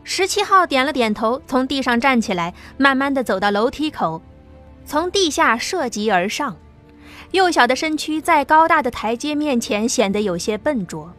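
Lively woman narrating a sci-fi audiobook. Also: high voice, normal speed. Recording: soft background music.

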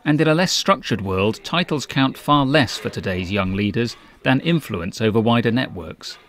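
A man narrating a business news feature in a crisp, measured, scripted voice-over. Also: mid-range voice, expressive. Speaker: journalist narrator. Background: loud background music.

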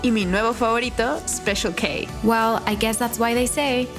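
A woman's voice: on the high side, steady tone, moderate pace. A woman presenting a scripted, conversational sponsor ad, slipping between English and Spanish. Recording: music behind the voice.

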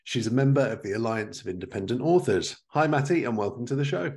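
A man's voice: medium pitch, fast, darkish tone. A man in his thirties presenting a podcast in an upbeat, enthusiastic, scripted style. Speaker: podcast host. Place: living room at home.

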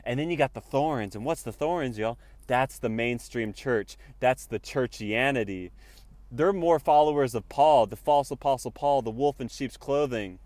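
A man's voice: mid-range voice, normal speed, a little mellow, no room sound. A young man preaching emphatically into his phone from inside a car, using an earphone mic and saying y'all often. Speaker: spiritual vlogger. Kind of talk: preachy selfie vlog monologue. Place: parked car. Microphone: earphone mic, phone at arm's length.

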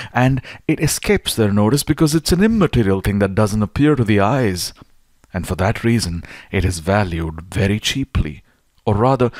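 A man with a low-pitched voice reads a philosophical essay as an audiobook narrator. His phrasing is deliberate and measured, leaning firmly on key words. The recording is dry and close, with no room echo. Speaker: audiobook reader.

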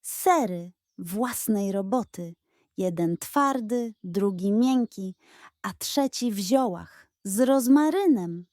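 A woman voicing an energetic, homely female goat in a children's story, with a cheerful manner. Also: medium pitch, slow pace, dry recording, clean studio sound. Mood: eager.

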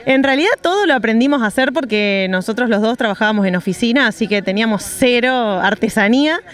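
A cheerful young Argentine woman talks about her travels on a street by parked vans. Her voice sits a touch high and she leans on "y bueno" between thoughts. She speaks into a handheld reporter mic outdoors. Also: expressive, quick pace. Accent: Argentine Spanish. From Rosario, Argentina. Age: young adult. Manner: cheerful, chatty, many fillers like "y bueno". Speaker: interviewee, traveling artisan. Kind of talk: street interview.

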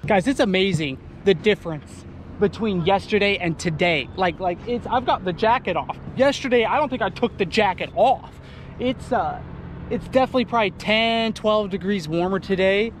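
A man's voice: high voice, fairly quick, slight background noise. A young man vlogging from a small boat on a lake, chatting and explaining his fishing rig as he works. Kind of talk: casual fishing vlog narration. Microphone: action camera on boat.

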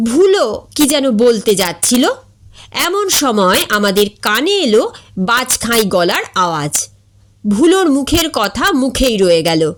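A woman reads a comic story aloud at a slow, deliberate pace. Her narration is expressive, with a lively, animated pitch and a slightly high voice. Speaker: story reader.

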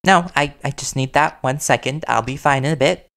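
Creaky-voiced, worried boyfriend acted by a man. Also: medium pitch, fairly bright tone. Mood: shocked, flustered. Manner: earnest, halting, conversational. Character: worried, gently confrontational young reporter boyfriend. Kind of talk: boyfriend audio roleplay, intimate acting.

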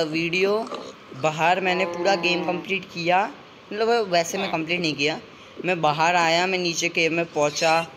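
A man's voice: on the high side, a bit bright, a little hiss or hum. A young man hosting a gaming channel, chatting with a friend during play and then talking to viewers in a rambling, self-correcting way. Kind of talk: gameplay chatter and casual narration to viewers.

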